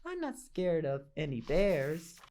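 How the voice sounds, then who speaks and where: A woman voicing a hungry, silly old lady from a storybook, stubborn but timid, in an excitable, exclaiming way. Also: sits low, slow pace, full character voice. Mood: scheming, determined. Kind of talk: storybook character voice.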